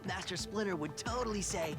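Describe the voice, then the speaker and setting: A man's voice: high voice, fairly quick, music in the background, close to an everyday voice. A man voicing a goofy, playful teenage mutant turtle who teases in slang, in a video game. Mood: teasing, playful. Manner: teasing, slangy. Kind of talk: animated video game acting.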